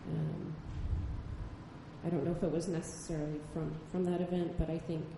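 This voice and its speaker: A woman with a low, soft-spoken voice talks hesitantly, pausing on frequent ums. The room around her rings with strong echo. A steady hiss of background noise sits under her speech. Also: vocal fry. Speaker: photojournalist on a panel. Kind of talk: panel discussion answer. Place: gallery event space. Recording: echoey room, far mic, background noise.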